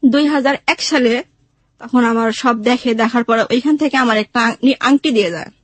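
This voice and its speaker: A woman with a clearly high voice and a Bangladeshi accent shares a personal story. She speaks plaintively and voices remembered conversations as she goes. The recording is dry and close. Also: normal speed, dry recording. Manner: plaintive, acts out remembered dialogue. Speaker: guest telling her life story. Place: radio studio.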